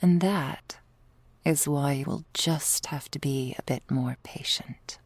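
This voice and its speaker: A woman playing a loving, patient vampire girlfriend in a whispered ASMR roleplay, with soft breathy delivery and tender, languid, formal phrasing. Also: sits low, slow pace, no room sound, heavily acted.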